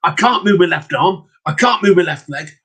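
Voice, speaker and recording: A man voicing a panicked, cursed peddler, shouting in desperation. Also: high voice, lively intonation, normal speed, breathy edge, pitched above the performer's own voice, heavily acted. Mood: desperate. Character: cursed middle-aged male peddler, frantic.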